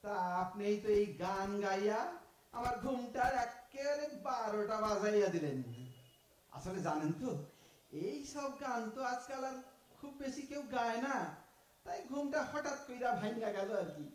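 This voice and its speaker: A man's voice: extremely high pitch, normal speed. A man acting an aging, cheeky thief in an audio drama, with an East Bengali dialect and a folksy, mock-polite, talkative manner. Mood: grumbling. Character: aging male thief, cheeky, philosophizing, self-styled honest. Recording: echoey room, far from the mic.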